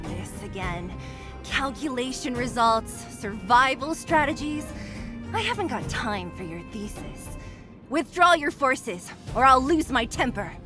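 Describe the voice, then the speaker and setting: A woman's voice: sits high, very animated, measured pace, music in the background. An actress playing a fierce, impatient young female fighter in a video game, curt, defiant and scornful.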